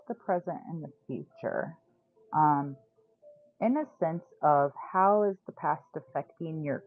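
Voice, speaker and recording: A woman's voice: lowish voice, slight background noise. A woman in her forties hosting a live tarot stream, chatting casually with viewers and laughing often. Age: 40s. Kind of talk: casual livestream chat. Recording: tinny phone-like sound.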